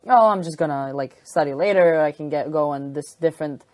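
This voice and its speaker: A woman's voice: low-pitched, a little fast, a little mellow, no room sound. A young woman with a Croatian accent, a college squash player chatting as an interview guest, filling her talk with "like" and laughing easily. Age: young adult. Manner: chatty, heavy filler use of "like", laughs easily. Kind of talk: casual interview chat.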